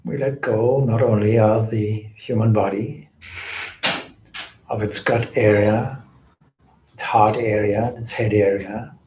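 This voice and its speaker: Slow-paced man guiding meditation, echoey room. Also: lowish voice, steady tone, dark tone, a little hiss or hum. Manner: deliberate, measured phrasing with pauses. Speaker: meditation teacher, swami. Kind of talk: guided meditation, spiritual instruction.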